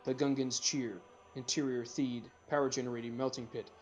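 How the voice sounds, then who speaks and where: A man's voice: mid-range voice, flat delivery, moderate pace, a little mellow, lo-fi sound, a little hiss or hum. A man reading screenplay directions aloud as the narrator of a group script reading.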